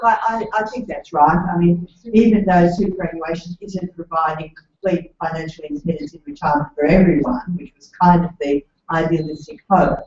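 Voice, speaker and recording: Australian woman host, low voice, fluent and gracious, distant mic in an echoey room, slightly dull sound. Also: a little mellow. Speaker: forum host. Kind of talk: moderating a public forum.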